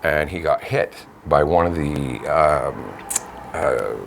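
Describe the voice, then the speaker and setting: A man with a Canadian accent chatting casually as co-host of a local show, talking in a rambling, halting way. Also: very deep voice, vocal fry, a little hiss or hum. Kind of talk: casual community chat. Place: home studio.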